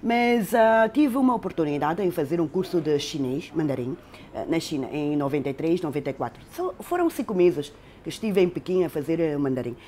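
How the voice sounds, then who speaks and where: A middle-aged interpreter from Macau tells her story in an interview. Her voice sits somewhat low for a woman. She hesitates often and fills pauses as she goes. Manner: hesitant, many fillers, animated storytelling. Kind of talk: interview recollection.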